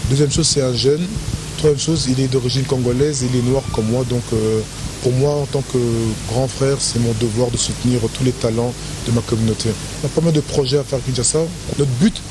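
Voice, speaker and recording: A man's voice: mid-range voice, fairly even intonation, moderate pace. A man in a field interview, laying out his points in order. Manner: structured, counting his points. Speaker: interviewee, supporter. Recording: noisy outdoor recording.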